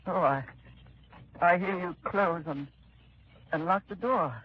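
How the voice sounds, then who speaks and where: An actor playing a frail, weary old prisoner who stammers, in 1930s radio drama style, on a dull old broadcast. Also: male voice, high-pitched, takes it slowly, a little hiss or hum. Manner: hesitant, stammering.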